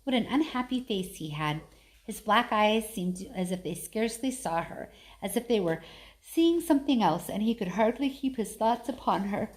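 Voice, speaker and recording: A woman's voice: mid-range voice, animated, normal speed, darkish tone, rough recording. A middle-aged woman reading a story aloud expressively, slipping into casual, conversational talk. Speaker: story reader.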